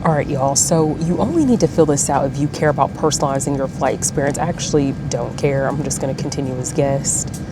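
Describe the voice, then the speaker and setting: A woman, chatting casually to her viewers from an airplane seat, her voice slightly deepened by a throat tickle. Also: brisk, loud music behind the voice, poor audio. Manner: chatty, addresses viewers as y'all and friends. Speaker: travel vlogger. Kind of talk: casual vlog chat to camera.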